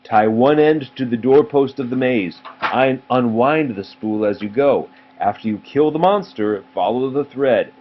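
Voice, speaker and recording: A man reading aloud as a kind, determined young princess in a storybook, calm and instructive. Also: mid-range voice, measured pace, hardly any pauses, highs cut off, close to an everyday voice.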